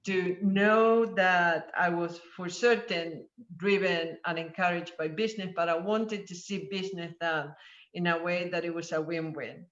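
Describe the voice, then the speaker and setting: Brief: Argentinian woman panelist in her fifties, animated storyteller, dull, echoey audio. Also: on the low side, measured pace. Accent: Argentinian Spanish. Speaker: panelist, corporate sustainability executive. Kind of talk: panel discussion answer. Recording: echoey, muffled.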